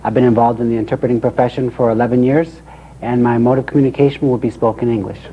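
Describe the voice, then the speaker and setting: A man speaks quickly in a formal, brisk self-introduction, his voice mid-range. The sound is dull and lo-fi, with faint room ambience beneath it. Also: mid-range voice, fairly even intonation, a little hiss or hum. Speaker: interpreter. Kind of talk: recorded panel presentation.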